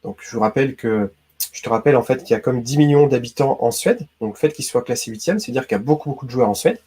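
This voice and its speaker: Chatty husky French-speaking man. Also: mid-range voice, expressive, fast, dark tone. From Brittany, France. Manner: chatty, addresses viewer as tu, many hein and d'accord. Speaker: YouTube host. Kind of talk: casual explainer quiz.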